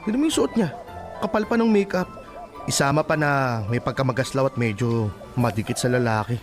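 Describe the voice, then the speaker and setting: A man's voice: medium pitch, fairly quick, music in the background, natural voice. A man playing a straitlaced, obedient young man in a radio drama, defensive and judgmental. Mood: scornful.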